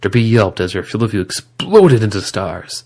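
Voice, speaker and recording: A man's voice: fairly low pitch, big pitch swings, moderate pace, darkish tone. A man reading scripted story narration as the narrator of an audio drama.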